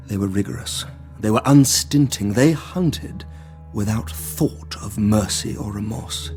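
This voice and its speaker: Earnest, articulate gentleman doctor. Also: fairly low pitch. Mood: somber narration. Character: earnest, grave gentleman doctor, narrating his ordeal. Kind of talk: dramatic audio drama acting and narration.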